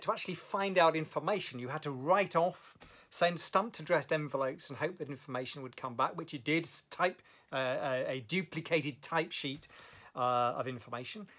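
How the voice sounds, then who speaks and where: Brief: middle-aged man, fairly high voice, thoughtful storyteller, pauses with fillers, home study to camera. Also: pushed and bright. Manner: thoughtful, measured, with frequent fillers and self-corrections. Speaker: language learning vlogger. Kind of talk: reflective personal storytelling to camera. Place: home study with bookshelves, indoors. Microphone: camera at desk distance.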